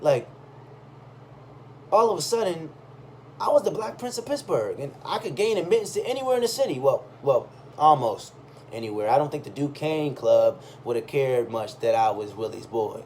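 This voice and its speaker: A man reading a first-person story aloud in a conversational, expressive way. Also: medium pitch, normal speed. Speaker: reader of a personal chronicle. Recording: clearly audible background noise.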